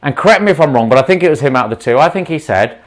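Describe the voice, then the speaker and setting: A man's voice: on the high side, expressive, very fast. A man, a doctor, talking unscripted to viewers on a livestream in an outspoken, impassioned way that rambles into asides, with a slight sore throat and sniffle. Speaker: doctor giving a livestream talk.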